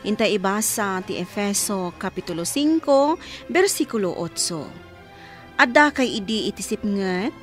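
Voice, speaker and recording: A woman hosting a radio program, giving a scripted introduction and reading scripture aloud. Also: fairly high pitch. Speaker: radio drama program host.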